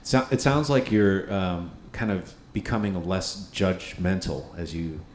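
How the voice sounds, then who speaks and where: A man's voice: sits low, expressive, unhurried, a little mellow, roomy sound, slight background noise. A male host moderating a live panel, who thoughtfully restates the guest's points as questions. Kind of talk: interviewing. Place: live radio studio with audience.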